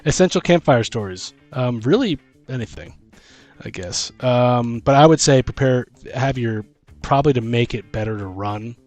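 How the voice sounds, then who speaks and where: Man chatting casually in a higher voice. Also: animated, moderate pace, a little mellow, no room sound, soft background music. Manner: informal, mildly profane, thinking aloud. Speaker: podcast host and dungeon master. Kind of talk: casual chat about running tabletop games.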